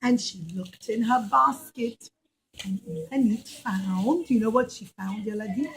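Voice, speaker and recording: A woman preschool teacher telling a story to young children in an animated, questioning way, repeating key words. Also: on the high side, measured pace, slightly rough, rough recording.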